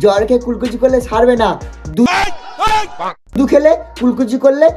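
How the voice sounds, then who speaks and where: A person hosting a comic roast in a mocking, exaggerated, excitable way. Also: medium pitch, moderate pace, shouting, crowd noise. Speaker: prank video host and roaster. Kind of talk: teasing comic roast commentary. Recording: music behind the voice. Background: music.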